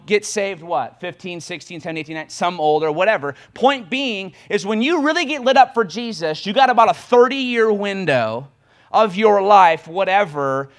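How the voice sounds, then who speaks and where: A man. His voice sits very high for a man, and he drives his points hard, racing ahead and then punching words out louder. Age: 20s. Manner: impassioned, rapid exhortation with sudden bursts of volume. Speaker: preacher. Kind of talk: sermon.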